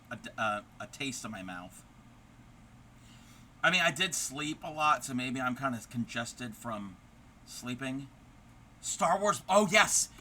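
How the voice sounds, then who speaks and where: A man chats casually with viewers as he crochets on a livestream, walking them through stitches step by step and answering their comments. His voice is going scratchy, yet it keeps a very bright, sharp edge, with faint noise behind it. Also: mid-range voice. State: voice turning scratchy, losing his voice. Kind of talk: casual chat while crafting, answering viewers.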